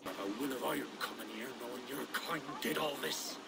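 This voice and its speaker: Man acting a gravelly, bitter old Saxon official. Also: mid-range voice, moderate pace, background noise, natural voice. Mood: bitter, accusing. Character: bitter old Saxon reeve, accusing and grudging. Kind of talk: video game cutscene acting.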